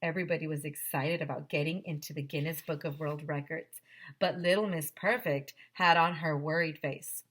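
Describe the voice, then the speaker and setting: Woman, teacher, bright forceful tone, slightly low pitch, expressive storybook read-aloud. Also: normal speed. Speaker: teacher reading aloud to pupils.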